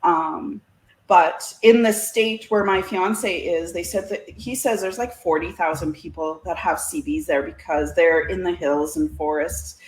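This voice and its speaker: Canadian lady chatting casually, lowish voice, pretty echoey room sound. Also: steady tone, a little slow. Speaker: guest, CB radio community organiser. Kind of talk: casual chat.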